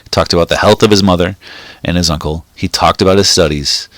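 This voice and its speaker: A man reading a short story aloud in a steady, measured way, with the odd small slip. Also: deep voice, no echo. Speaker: reader.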